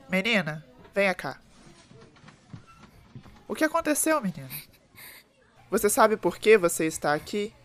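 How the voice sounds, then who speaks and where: A woman's voice: mid-range voice, natural voice. A woman playing an authoritative yet reassuring schoolteacher who gives instructions in a radio drama, with a Brazilian accent. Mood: commanding.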